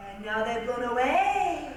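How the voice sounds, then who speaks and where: A woman playing a sly, excitable crystal-gazing fortune-teller in theatrical stage style. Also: on the high side, unhurried, distant mic. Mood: excited. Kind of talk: reader's theatre stage acting. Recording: echoey stage recorded from afar, noisy.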